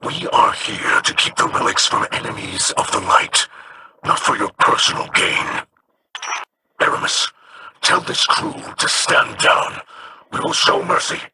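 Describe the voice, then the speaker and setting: An actor voicing a solemn, dutiful male alien ally in a video game, gravelly and formal in manner. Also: sharp tone, a little hiss or hum. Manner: formal, measured. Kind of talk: video game character dialogue.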